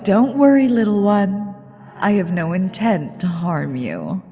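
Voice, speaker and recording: Woman acting a haughty, slow-speaking ghost lady, reverberant. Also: mid-range voice, lively intonation, dark tone, slightly rough. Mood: amused, gloating. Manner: theatrical, teasing, imperious, with drawn-out phrasing. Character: haughty, playfully menacing ghost lady of a haunted manor. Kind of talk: ASMR roleplay acting. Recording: long hall reverb with music behind.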